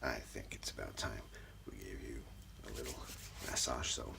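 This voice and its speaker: Male ASMR practitioner, extremely low register with gravelly fry, breathy and soothing. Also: steady tone, normal speed. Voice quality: breathy, often whispered. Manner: gentle, soothing, reassuring. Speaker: ASMR host, role-playing Reiki practitioner. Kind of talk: soft-spoken ASMR, personal-attention session. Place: small room indoors.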